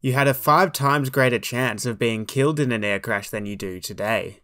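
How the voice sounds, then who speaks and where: Guy narrating a documentary, formal and measured, average pitch, really dry close mic. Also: sharp tone, no echo. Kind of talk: scripted narration.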